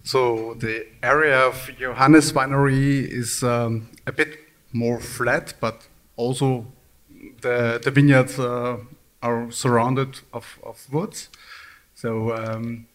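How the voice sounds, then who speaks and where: A man's voice: medium pitch, fairly even intonation, takes it slowly, a bit bright. A man speaking as a winemaker on a live panel in a language, searching for words and hesitating.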